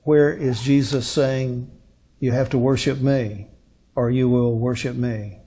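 An older man gives a sermon as a pastor, taking scripture apart at an unhurried pace. His voice is mellow and mid-pitched. He speaks deliberately, leaning on key words and pausing between short phrases. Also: fairly even intonation, breathy edge. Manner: deliberate, emphatic, with rhetorical questions and pauses. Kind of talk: sermon, expository Bible teaching from a lectern. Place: indoor lectern on a curtained stage.

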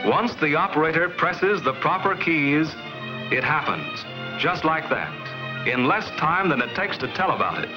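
An American man giving measured, explanatory scripted narration in the style of a 1950s industrial film. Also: a little high, expressive, highs cut off, music in the background.

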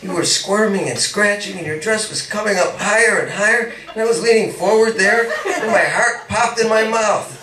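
A man's voice: high voice, a little fast, hardly any pauses, bright, forceful tone. A man voicing a besotted young suitor, reading a story aloud in a teasing, dreamy manner. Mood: rapturous. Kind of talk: read-aloud story dialogue. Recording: echoey room, mic at a distance.

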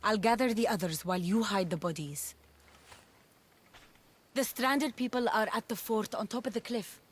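A woman playing a composed, caring adult daughter in a video game cutscene, speaking in a measured, earnest way. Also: medium pitch, husky, natural voice. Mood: earnest, concerned.

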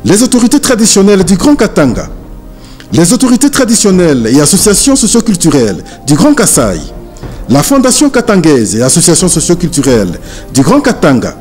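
High-voiced man narrating news, lively. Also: brisk, faint music behind.

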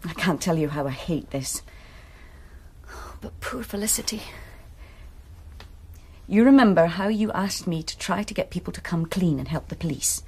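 An actress playing a troubled young woman student in a radio drama who confides reluctantly in a hushed voice. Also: fairly low pitch, lively intonation, moderate pace, long pauses, husky. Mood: worried.

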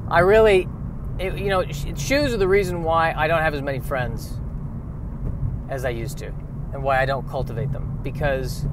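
A man hosting a podcast alone in a rambling comic monologue, halting and repeating his phrases. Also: on the high side, background noise. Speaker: solo podcast host.

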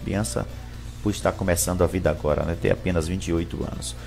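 Male news presenter, 50s, low voice, live local bulletin over a music bed. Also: fairly even intonation, quick pace, creaky, darkish tone. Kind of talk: reading local news, greeting viewers live. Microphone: headset.

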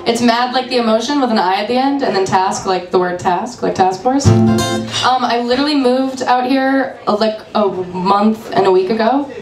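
A young woman from Ohio with a raspy voice, chatting quickly and self-deprecatingly on stage at an open mic. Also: fairly high pitch. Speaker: open mic singer-songwriter. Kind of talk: casual stage banter. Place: small club stage with red curtain. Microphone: stand mic seen from far camera. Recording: echoey room from far off with guitar.

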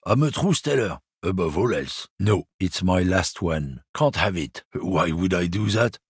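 An actor playing a smug, bigoted lorry driver in a video game, talking in a husky, conspiratorial way. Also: male voice, sits low, expressive, measured pace, no echo, clean studio sound, full character voice. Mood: defensive. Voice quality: gravelly, husky.

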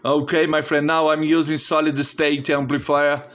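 High-pitched Brazilian man on ham radio. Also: flat delivery, normal speed, fairly bright tone. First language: Portuguese. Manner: friendly, non-fluent English. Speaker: amateur radio operator. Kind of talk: casual ham radio contact. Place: indoor home radio station.

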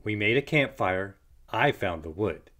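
Lively, low-pitched man reading storybooks. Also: measured pace. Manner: expressive storytelling for young children. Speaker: storybook narrator. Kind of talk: children's read-aloud narration.

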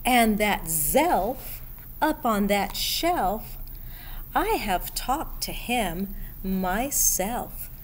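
A middle-aged woman reading a picture book aloud to children in an expressive, deliberate way, pausing as she addresses young listeners. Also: mid-range voice. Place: school library room, indoors. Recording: steady background room noise.

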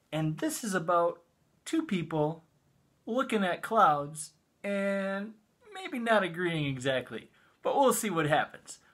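A middle-aged American man talks to children as a storytime host. His voice is bright and forward, with an unhurried pace and lively swings in pitch. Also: husky. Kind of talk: friendly storytime talk to children. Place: small indoor room with bookshelves.

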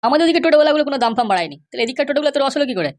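A man voicing a cheeky, nagging middle-aged father in a comic cartoon, brash and mocking, with a pitched-up voice. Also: big pitch swings, full character voice. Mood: grumbling. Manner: brash, mocking, fast-talking.

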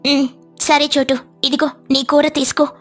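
A woman voicing a kindly, modest mother sparrow in a cartoon story, gentle and agreeable in manner. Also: high voice, level tone, moderate pace, soft background music.

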